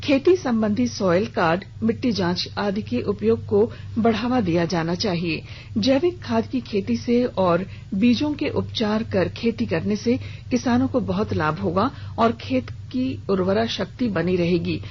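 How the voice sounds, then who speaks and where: A woman's voice: medium pitch, a little slow. A woman reading a scripted regional radio news bulletin as the newsreader. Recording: dull lo-fi radio broadcast with hiss.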